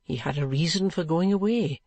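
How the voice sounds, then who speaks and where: A woman voicing a kind, patient young man who coaxes gently. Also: deep voice, normal speed, dry recording. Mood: reassuring. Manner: gentle coaxing tone.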